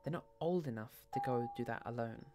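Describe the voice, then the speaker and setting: A woman's voice: very deep voice, fairly quick, loud music behind the voice, voice pushed down. A woman playing an exasperated, anxious wife and mother in a dramatic audio story.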